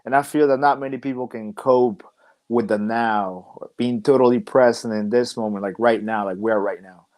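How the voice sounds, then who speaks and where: A young man who wrestles professionally talks as a podcast guest at a mid-range pitch. He rambles thoughtfully and leans on "like" and "you know" while he works out his ideas. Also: steady tone, moderate pace, a little mellow. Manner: rambling and reflective, many "like" and "you know" fillers. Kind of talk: casual interview chat.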